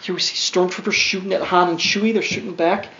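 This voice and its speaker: A man's voice: high voice, fairly even intonation, fast talker. A man reading a children's storybook aloud to his young son, with playful, wry side comments. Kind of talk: read-along children's storybook narration with casual asides. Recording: dull lo-fi sound, faint background noise.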